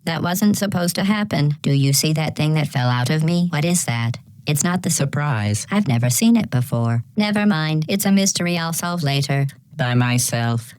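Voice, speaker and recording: A woman voicing a passive-aggressive female computer intelligence for a video game, deadpan and mock-polite, with synthetic robotic processing. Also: low voice, normal speed, hardly any pauses, clear, resonant tone, no room sound, poor audio. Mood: sardonic, condescending. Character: menacing passive-aggressive female AI running a test facility. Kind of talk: scripted video game character dialogue.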